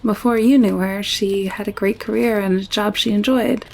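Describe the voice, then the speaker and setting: A woman acting a friendly, candid alien woman in an audio roleplay drama, chatting in a caring, gently teasing way. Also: medium pitch, steady tone, normal speed, gravelly, low-quality recording. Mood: reflective.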